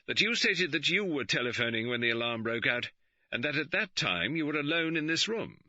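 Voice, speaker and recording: Man doing a crisp impatient chief constable. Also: on the high side, sing-song, brisk, pushed and bright, no room sound, pitched above the performer's own voice, heavily acted. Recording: dull, dry studio recording.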